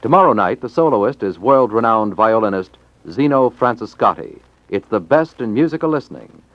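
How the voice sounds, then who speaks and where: A man's voice: fairly low pitch, expressive, normal speed, no echo. An American man announcing from a script in a formal, polished 1950s radio style. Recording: muffled 1950s radio recording.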